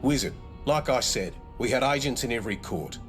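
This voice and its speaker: Man playing wry, mid-pitched game agent. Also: expressive, a little fast, music in the background, lightly acted. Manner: casual, sardonic. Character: wry, down-to-earth secret society agent. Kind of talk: scripted video game cutscene acting.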